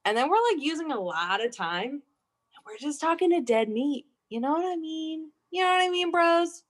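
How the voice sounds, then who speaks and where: A woman's voice: sits high, animated, moderate pace. A woman performing improvised comedy in a casual, slangy, rambling way.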